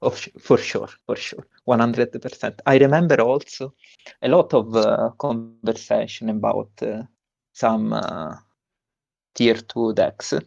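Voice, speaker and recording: A young man with an Italian accent, a tournament winner giving a halting interview with many fillers and restarts. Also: mid-range voice, steady tone, gravelly, a little mellow, no echo, lo-fi sound. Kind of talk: post-win stream interview.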